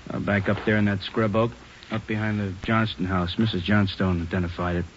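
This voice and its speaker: A man playing a brisk police officer reporting findings in a 1940s radio drama, in a factual manner. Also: on the low side, level tone, lightly acted. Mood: urgent, factual. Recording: muffled, hissy old 1940s radio recording.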